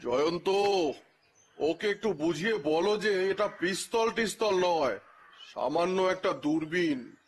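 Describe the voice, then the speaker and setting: An actor playing an eccentric old gentleman naturalist in an audio story, speaking in a measured, lamenting, gently pleading way. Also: high-pitched, lively intonation, breathy edge, exaggerated voice. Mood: plaintive. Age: older. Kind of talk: dramatized audio story dialogue.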